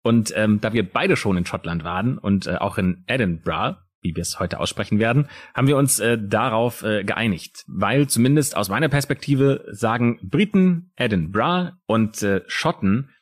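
A male true crime podcast co-host with a Palatinate German accent, telling the story dramatically with pauses. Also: on the low side, expressive.

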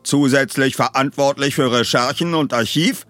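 A man's voice: medium pitch, normal speed, a bit bright, soft background music, pitched above the performer's own voice, close to an everyday voice. A man reading an eccentric, commanding professor in an audiobook, with a pompous, emphatic and bossy manner. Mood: indignant.

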